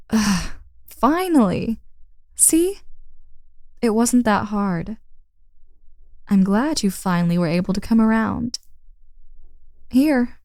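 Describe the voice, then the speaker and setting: A woman's voice: mid-range voice, mellow, no echo, clean studio sound, natural voice. A woman playing a confident, teasing young woman in an intimate roleplay audio drama, speaking casually and playfully to the listener. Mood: playfully exasperated.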